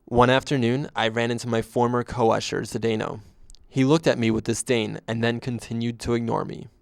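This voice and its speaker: A man narrating a scripted first-person story in a deadpan way. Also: mid-range voice, normal speed, gravelly, no room sound, clean studio sound.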